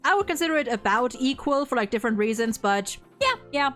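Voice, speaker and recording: A young woman co-hosting a casual discussion, talking in an animated, opinionated way with frequent swearing. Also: fairly high pitch, quick pace, faint music behind. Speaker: video host reviewing a game.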